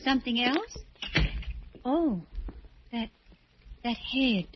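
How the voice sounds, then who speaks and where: An actress playing an uneasy, polite artist's model, hesitant and apprehensive, in 1940s radio drama style. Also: female voice, on the high side, expressive, very slow, background noise. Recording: muffled old radio recording.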